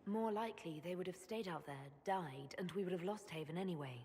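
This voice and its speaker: An actress playing a composed, principled leader of a fantasy order in a video game, direct and steady. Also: female voice, on the low side, a little fast, slight background noise, natural voice. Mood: firm, reassuring. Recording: hall reverb, faint ambience.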